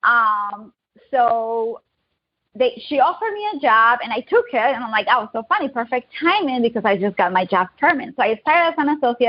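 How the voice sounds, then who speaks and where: A woman in her thirties with a Colombian accent, speaking in a fairly high voice. She chats quickly and warmly about her own story as a podcast guest. The recording sounds dull and lo-fi. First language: Spanish. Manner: upbeat, quick and chatty, laughs easily. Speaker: guest, digital marketing agency owner. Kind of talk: casual interview storytelling.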